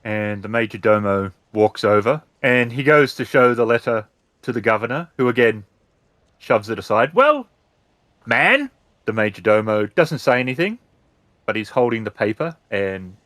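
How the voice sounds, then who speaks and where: A man with a lowish voice narrates a tabletop roleplaying game as its storyteller. He lays out the scene piece by piece, with pauses, in a dry, close recording. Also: a bit bright, no echo.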